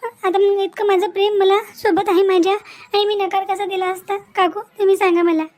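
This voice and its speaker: Young cartoon bride, played by a woman: devoted, anxious, pleading, with a very high voice and repeated phrases. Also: flat delivery, brisk, darkish tone. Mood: anxious, earnest. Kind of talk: cartoon family melodrama acting.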